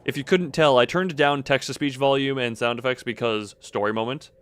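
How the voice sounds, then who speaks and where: A man's voice: medium pitch, fairly even intonation, a little fast, fairly bright tone, smooth. A man streaming a game, chatting casually and reading the story text aloud with dramatic delivery.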